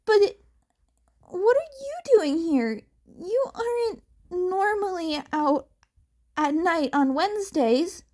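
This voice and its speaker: Woman voicing a flustered, high-pitched stammering teenage boy. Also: expressive, slow pace, darkish tone, full character voice. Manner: stammering, defensive. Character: flustered teenage boy vigilante, nervous and whiny.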